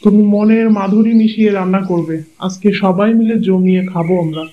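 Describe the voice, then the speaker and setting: A man's voice: sits high, brisk, lightly acted. A man doing an eager young husband. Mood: insistent. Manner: insistent, upbeat. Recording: muffled lo-fi audio.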